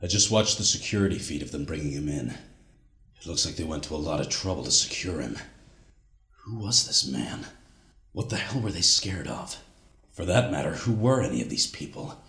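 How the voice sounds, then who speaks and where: Haunted tired soldier doing a survival log, super deep voice, brooding, big echoey reverb, audio drama. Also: male voice, expressive, a little fast, a bit bright. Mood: disturbed. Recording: echoey hall with long reverb.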